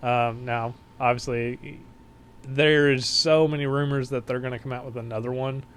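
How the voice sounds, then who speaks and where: A man chatting as a podcast guest in an easygoing, relaxed way, with frequent ums and uhs. His pitch sits in an ordinary middle range. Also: normal speed, clean tone. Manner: relaxed gamer talk with fillers. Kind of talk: casual chat.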